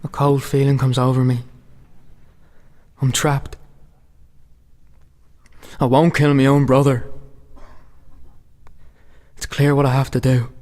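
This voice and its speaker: A man voicing a doomed young soldier, flat and grim. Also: medium pitch, slow, pauses often, natural voice. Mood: grim calm. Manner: steady, grim first-person narration. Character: young male soldier refusing to shoot his brother. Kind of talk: amateur radio play acting.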